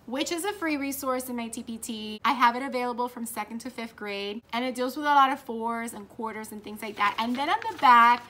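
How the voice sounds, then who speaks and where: An excitable young woman talks to a propped-up camera in her classroom, chatting about her school day. Her voice sits a little on the high side, and her manner is upbeat and chatty. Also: a little fast, few pauses, a little hiss or hum. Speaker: fourth grade teacher and vlogger. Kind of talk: casual vlog chat. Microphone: camera propped nearby.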